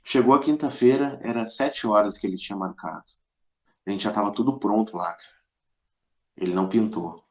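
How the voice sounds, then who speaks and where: A man's voice: fairly low pitch, a little fast, a little mellow. Middle-aged southern Brazilian man telling stories. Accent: southern Brazilian, Rio Grande do Sul. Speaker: podcast guest, musician. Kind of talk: casual anecdotal storytelling.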